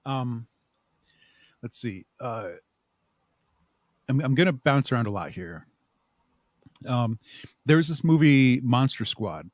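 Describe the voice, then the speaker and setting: A man's voice: mid-range voice, lively intonation, slow pace, pauses often, no room sound, studio quality. A man giving casual, self-deprecating, rambling and thoughtful answers as a podcast guest. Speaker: podcast guest, audio drama creator. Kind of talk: casual interview conversation.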